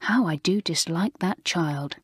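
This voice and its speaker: A woman voicing a calm, soft-spoken schoolgirl in a low-key way, close to her own voice. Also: measured pace, slightly rough, no room sound. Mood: musing. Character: cheerful head girl type, calm schoolgirl.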